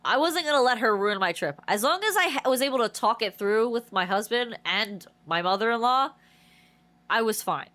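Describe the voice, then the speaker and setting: A congested woman game streamer talks in a stuffy, nasal voice. She rambles through stories with lots of restarts. Her high voice swings widely up and down. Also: moderate pace. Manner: rambling, animated storytelling with many restarts. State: congested, nose blocked. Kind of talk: casual livestream chat.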